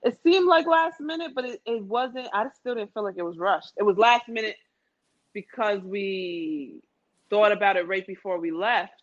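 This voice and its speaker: A woman's voice: mid-range voice, lively intonation, moderate pace. A woman in a casual, conversational travel chat with a friend, often repeating phrases for emphasis. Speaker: podcast speaker. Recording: dull lo-fi sound.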